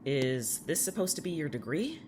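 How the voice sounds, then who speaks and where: A man's voice: fairly high pitch, sing-song, moderate pace, very noisy. Man playing a wary, blunt captive patient. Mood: wary, irritated. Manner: blunt, skeptical. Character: wary, irritated man held by a strange therapist. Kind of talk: audio drama acting.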